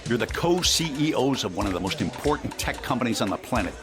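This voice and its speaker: A man's voice: medium pitch, sing-song, quick pace. An actor playing a worried, pragmatic tech company chairman in an audio drama, reasoning and pressing in businesslike fashion. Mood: exasperated. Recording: loud music behind the voice.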